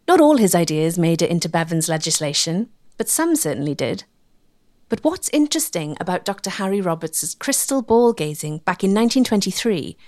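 A woman delivering scripted documentary narration in a measured, rhetorical manner with emphatic phrasing. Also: mid-range voice, a little mellow, no echo, clean studio sound.

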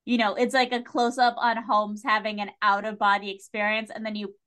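A woman chatting casually on a podcast, retelling things in an animated way and acting out imagined lines. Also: mid-range voice, brisk. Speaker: podcast panelist. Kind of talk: casual chat discussing a TV series.

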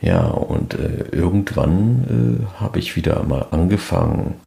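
A middle-aged man presents a fan podcast as a solo monologue. His voice sits very low and has a strong fry to it, like someone speaking from deep in his register. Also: wildly swooping pitch, a little slow, mellow. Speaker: podcast host.

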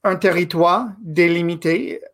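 A man in his thirties, a native English speaker from Quebec, giving a guest interview in a thoughtful, halting way. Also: high-pitched, a bit bright. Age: 30s. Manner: thoughtful, halting, with frequent pauses and restarts. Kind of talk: casual interview conversation.

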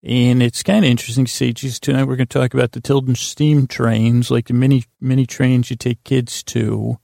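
A middle-aged man hosting a sleep podcast, rambling through a bedtime monologue in a halting, self-deprecating, dryly whimsical way with frequent pauses and unfinished tangents. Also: medium pitch, level tone, gravelly, mellow, dry recording, clean studio sound.

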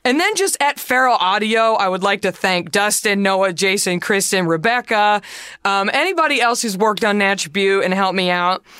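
A woman in her thirties from the United States with a deep voice, hosting a podcast in a chatty, joking way with sarcastic asides. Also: moderate pace, a bit bright, smooth, dry recording, clean studio sound. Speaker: podcast host. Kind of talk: solo podcast chat.

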